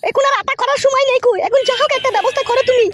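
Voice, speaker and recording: A woman voicing a pushy, scolding village wife in a comic cartoon, with a shrill, nagging, foul-mouthed manner. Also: fairly even intonation, rapid-fire, very noisy. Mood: urgent.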